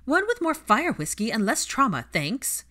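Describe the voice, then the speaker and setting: A woman's voice: fairly high pitch, huge pitch swings, moderate pace, pushed and bright, pitched above the performer's own voice, exaggerated voice. A woman voicing a sulky, sardonic young witch in an audiobook, blunt and easily irritated. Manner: sulking, blunt, profane. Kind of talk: audiobook character voice.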